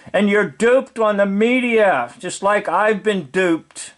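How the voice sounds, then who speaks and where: A man's voice: sits high, slow pace, fairly bright tone. A man giving informal, preachy commentary, explaining emphatically and repeating key words. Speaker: video commentator.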